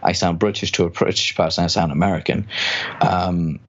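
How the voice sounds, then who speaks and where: A man's voice: sits low, steady tone, a little fast, dull audio, a little hiss or hum. A man with a transatlantic accent and hard R's, chatting casually as a guest about copywriting and voice work. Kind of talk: casual podcast chat.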